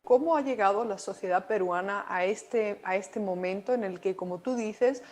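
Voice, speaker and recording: A woman's voice: mid-range voice, normal speed, a little mellow. A woman hosting an interview, introducing her guest and putting questions to him. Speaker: interview host.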